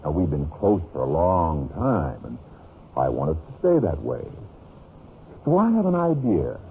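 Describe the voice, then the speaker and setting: An old, dull radio recording carries a deep-voiced scheming husband. His delivery swings widely in pitch, smooth and falsely friendly, with sly, deliberate emphasis straight out of fifties radio drama. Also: big pitch swings, slight background noise, full character voice. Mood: falsely hearty. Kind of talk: 1950s radio drama acting.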